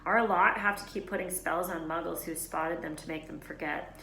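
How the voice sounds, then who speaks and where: A woman's voice: lowish voice, fairly even intonation, moderate pace, vocal fry, pushed and bright, echoey room, a little hiss or hum, poor audio. A woman voicing a casual, sceptical schoolboy who talks bluntly in a storybook read-aloud. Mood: matter-of-fact.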